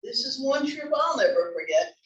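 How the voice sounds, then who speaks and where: Actress playing a high-pitched, playful older wife. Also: female voice, big pitch swings, normal speed, lo-fi sound, slight background noise. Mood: upbeat, playful. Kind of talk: table read of a comedy play. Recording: roomy, distant library table-read recording.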